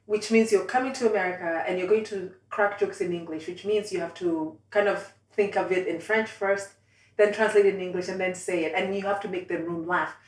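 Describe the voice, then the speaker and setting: A woman's voice: fairly low pitch, fairly even intonation, fairly quick. A woman with a Swahili-influenced accent, who grew up speaking Swahili, interviewing a guest in casual conversation with lots of encouraging agreement. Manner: chatty, encouraging, many agreeing fillers. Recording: roomy, mic at a distance.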